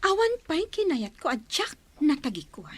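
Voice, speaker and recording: A woman's voice: sits high, wildly swooping pitch, moderate pace, no room sound. A woman playing a scheming, lovesick young woman in an Ilocano radio drama, with a dramatic, defiant delivery. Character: scheming, possessive young woman obsessed with a man.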